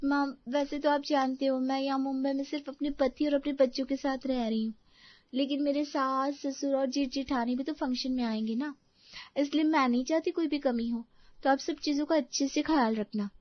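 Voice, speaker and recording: A woman's voice: high voice, steady tone, normal speed, dry recording, muffled recording, lightly acted. A woman playing a proud, sweet-talking young married daughter in a Hindi moral story cartoon. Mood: demanding.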